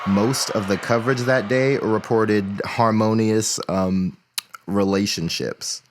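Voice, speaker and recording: Low-voiced measured male chronicler, noisy track. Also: fairly even intonation. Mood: matter-of-fact. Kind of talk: audio play narration.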